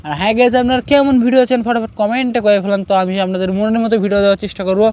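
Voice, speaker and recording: A man's voice: sits high, fairly even intonation, very fast, darkish tone. A man with a Bangladeshi accent addressing viewers as the host of a cartoon channel. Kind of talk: video channel greeting and sign-off. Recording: noticeable background noise and clicks.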